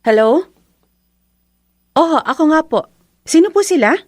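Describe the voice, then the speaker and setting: A woman's voice: high voice, animated, unhurried, no room sound, studio quality. An actress playing a long-suffering young wife and mother in a Filipino radio drama, emotional and plaintive, with a Filipino accent. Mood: friendly, subdued.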